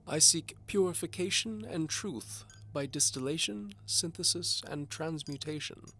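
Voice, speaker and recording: High, creaky old duke. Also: male voice, unhurried, sharp tone, full character voice. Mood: lofty. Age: older. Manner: pompous, formal, hesitant blustering. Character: doddering old duke, fussy, imperious amateur alchemist. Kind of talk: audio drama character acting.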